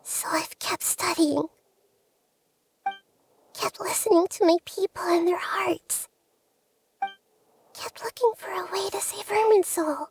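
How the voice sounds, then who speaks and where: A woman voicing a small, lonely, earnest girl deity in a video game, soft, breathy and sorrowful. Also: very high-pitched, takes it slowly, long pauses, slight background noise, full character voice. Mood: forlorn, wistful. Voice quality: breathy, whispery, childlike. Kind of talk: video game character acting.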